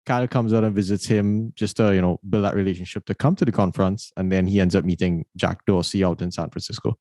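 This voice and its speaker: A man's voice: sits low, fairly even intonation, quick pace, warm tone, dry recording. A man hosting an interview, talking thoughtfully and telling stories, often repeating words as he starts his sentences. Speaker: podcast host. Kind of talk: casual interview conversation.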